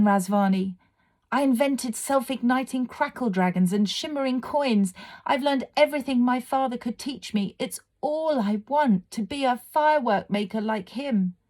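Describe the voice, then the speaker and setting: Woman voicing an earnest young girl, high and breathless. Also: normal speed, a little mellow, lightly acted. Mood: pleading. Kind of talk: dramatised storybook reading.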